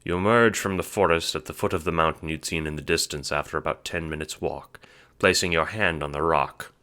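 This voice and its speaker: A man's voice: extremely low pitch, fairly even intonation, brisk, pushed and bright, faint music behind. A man reading scripted second-person story narration for an audio drama.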